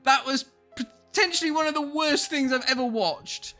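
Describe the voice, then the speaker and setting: A man's voice: very high-pitched, moderate pace, sharp tone, faint music behind. A man co-hosting a casual film commentary, sweary, mocking and giggly. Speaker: podcast co-host.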